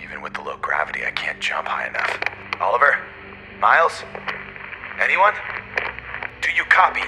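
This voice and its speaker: An actor playing a stranded, desperate male astronaut talking to himself, halting, strained and short of breath. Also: fairly low pitch, huge pitch swings, sharp tone, full character voice, rough recording. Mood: strained, determined. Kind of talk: dramatic audio drama monologue.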